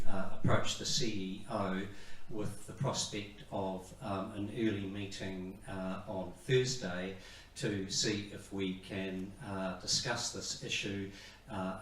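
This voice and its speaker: A man making formal remarks at a public meeting in a measured, halting way. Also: low voice, fairly bright tone. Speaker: speaker at community meeting. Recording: echoey meeting hall, distant mic, faint noise.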